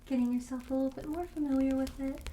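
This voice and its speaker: A woman playing a friendly, darkly quirky witch shopkeeper in an ASMR roleplay, speaking softly and breathily with gentle, coaxing sales patter that often drops to a whisper. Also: sits high, flat delivery, fast talker, reverberant, a little hiss or hum, close to an everyday voice. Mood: softly matter-of-fact.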